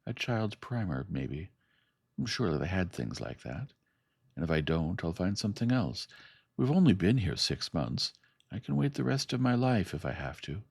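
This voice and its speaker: Man doing a stubborn lady archaeologist, deep gravelly voice just nudged up a bit. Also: sing-song, normal speed, a little mellow, no room sound, studio quality, exaggerated voice. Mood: determined. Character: determined young woman archaeologist.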